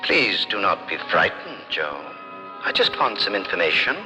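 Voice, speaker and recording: An actor playing a friendly, polite and reassuring alien creature in an old radio drama. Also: male voice, low-pitched, sing-song, normal speed. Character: friendly, calm extraterrestrial in fuzzy orange spacesuit. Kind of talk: 1950s radio drama acting. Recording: old radio recording, long reverb, music.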